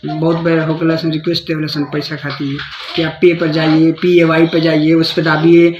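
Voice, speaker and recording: A man's voice: fairly high pitch, monotone, normal speed. Echoey Bhojpuri-accented man, noisy recording. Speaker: content creator warning followers about scam calls. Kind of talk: casual phone call and informal talk to viewers. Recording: echoey, clearly noisy phone call recording.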